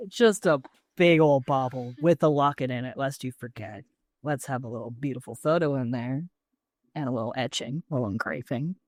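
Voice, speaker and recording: A woman's voice: rumbling low, moderate pace, a little mellow, no echo. A woman co-hosting a podcast in casual chat, playful, slangy and full of exclamations. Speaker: podcast co-host.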